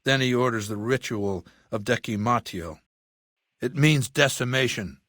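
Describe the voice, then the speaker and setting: Ruthless dictator for a game. Erudite, measured, coldly imperious; mid-range voice, close dry sound. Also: male voice, medium pitch, a bit bright, dry recording. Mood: cold, calculating. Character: ruthless, cultured warlord dictator in middle age. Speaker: video game villain. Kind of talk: dramatic game dialogue monologue.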